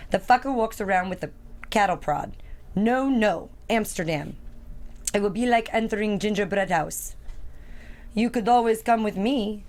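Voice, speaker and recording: A woman's voice: mid-range voice, moderate pace, darkish tone, close to an everyday voice. A woman playing an older male Russian mobster, worldly, cynical and blunt, reading in a screenplay table read.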